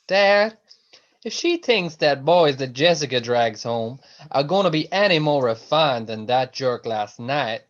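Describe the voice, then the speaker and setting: Casting: man as sarcastic, cheeky teen boy for radio play, medium pitch, lo-fi recording. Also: expressive, measured pace, natural voice. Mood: scornful. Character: cheeky, sarcastic teenage son. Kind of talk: amateur radio drama acting.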